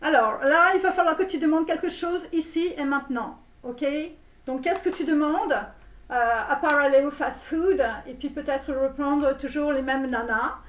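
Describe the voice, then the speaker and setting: A woman playing a comic online tarot reader with a put-on Romanian accent, insistent and repetitive, halting theatrically as she reassures her viewers. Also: high voice, lightly acted. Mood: musing, preachy. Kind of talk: parody tarot reading spoken to viewers. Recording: roomy echo, distant microphone.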